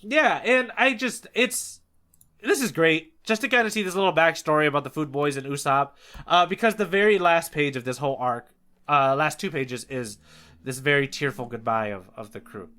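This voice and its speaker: A man's voice: a little high, moderate pace, bright, forceful tone. A man co-hosting a podcast, talking casually and enthusiastically about stories and manga. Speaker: podcast co-host.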